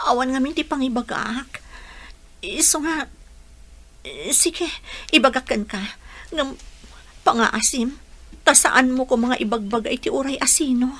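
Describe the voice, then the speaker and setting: A woman's voice: high voice, unhurried, pauses often, a bit bright, exaggerated voice. A woman playing a lovelorn, determined young bride in a radio drama, earnest and pleading. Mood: confiding, wistful. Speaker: audio drama actor.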